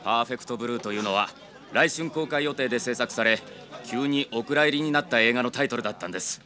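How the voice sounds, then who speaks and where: Eager, bright-voiced young investigator man , acted. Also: medium pitch, natural voice. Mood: brisk, eager. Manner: brisk, dutiful reporting. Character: eager junior male police investigator reporting in. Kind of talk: radio drama acting.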